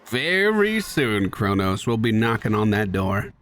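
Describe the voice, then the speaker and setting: Animated man doing gameplay commentary. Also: lowish voice, unhurried, a little hiss or hum. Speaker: gaming video host. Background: video game sounds.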